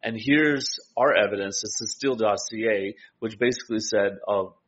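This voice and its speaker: A man's voice: fairly low pitch, normal speed, a bit bright, dull audio. A man co-hosting a casual political discussion, explaining points and voicing imagined quotes as he goes. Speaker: political talk show co-host.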